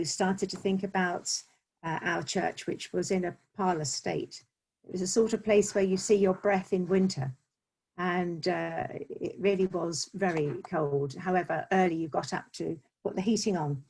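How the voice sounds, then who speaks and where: A woman with a southern English accent presenting her parish church's experience over a video call, lively at the start. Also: mid-range voice, breathy edge, rough recording. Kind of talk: presentation talk.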